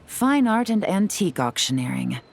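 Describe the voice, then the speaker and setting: A woman playing a composed, shrewd investigator in a video game, speaking in a measured, wry, knowing way. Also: mid-range voice, big pitch swings, creaky. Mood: measured, explanatory.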